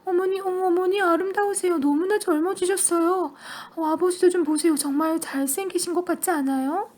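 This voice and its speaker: A woman voicing an anxious middle-aged mother whose voice turns shrill and pleading in an audiobook story. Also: steady tone, brisk, a little mellow. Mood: excited.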